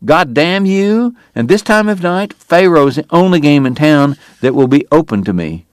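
A man's voice: medium pitch, expressive, unhurried, no room sound, clean studio sound, voice pushed up, natural voice. A man doing a bitter, ailing frontier dentist. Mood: proud, angry. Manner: pained, proud, formal diction breaking into anger.

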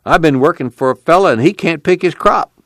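A man's voice: on the high side, lively intonation, fairly quick, fairly bright tone, no room sound, studio quality, pitched above the performer's own voice. Man voicing a bitter worn-out farmhand. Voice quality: rough folksy rural drawl. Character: bitter weary male migrant farmhand.

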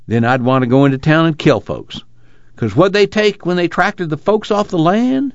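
A man's voice: medium pitch, no echo, muffled recording, pitched above the performer's own voice. A man voicing an embittered, haunted rural loner, ranting irritably in rustic dialect in an audiobook novel. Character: embittered, agitated rural man, haunted and lonely, grieving lost land.

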